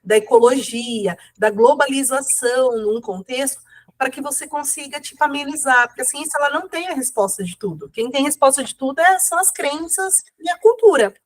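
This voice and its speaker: A woman's voice: high-pitched, fairly quick. A woman with a cold, speaking casually as an interview guest, rambling with many fillers and self-corrections. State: has a cold.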